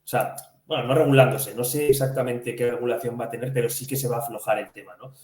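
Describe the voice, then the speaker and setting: A man from chats as a financial podcast co-host. His voice sits at a medium pitch, and he explains by asking questions and answering them himself. The sound has a strong room echo. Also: fairly even intonation, quick pace, a little mellow. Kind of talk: conversational financial news discussion. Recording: echoey room.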